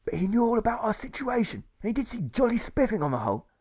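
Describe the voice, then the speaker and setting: Excitable upper-class nurse acted by a male reader, high-pitched, effusive, quaintly polite, audiobook character. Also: fast talker, full character voice. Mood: gushing delight. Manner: plummy period slang, effusive.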